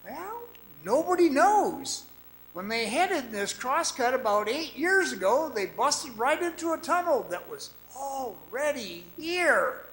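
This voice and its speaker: An actor playing a cocky young mine guide in a radio drama, folksy and coaxing, moving between narration and dialogue. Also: male voice, very high-pitched, very animated, slow, fairly bright tone, full character voice, low-quality recording. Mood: eager, insistent. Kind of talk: old-time radio drama acting, part narration, part dialogue.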